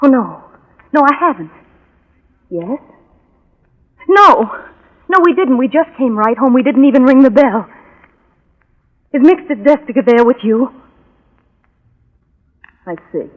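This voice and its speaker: A woman's voice: sits high, expressive, a little slow, pauses often. An actress playing an obsessive, bitter, lovelorn woman in a 1940s radio drama, speaking with fervent, brooding intensity. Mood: shaken, guarded. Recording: dull vintage 1940s radio recording.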